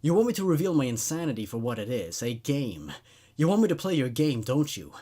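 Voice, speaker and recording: A man's voice: mid-range voice, very animated, fairly quick, mellow. A man voicing a tormented, unhinged male patient in a horror story, intense, strained and accusatory. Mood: menacing, mocking.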